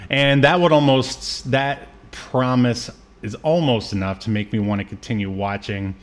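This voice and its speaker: A man co-hosting a show, chatting casually about cartoons in a halting, conversational way. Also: mid-range voice, fairly even intonation.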